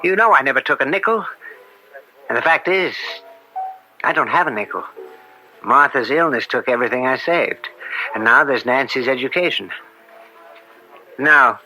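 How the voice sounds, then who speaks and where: An actor playing an upstanding older judge, proud and wounded, in old-time radio drama style. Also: male voice, medium pitch, expressive, normal speed, pauses often, bright, forceful tone, poor audio. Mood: indignant, bitter. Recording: old radio broadcast with music.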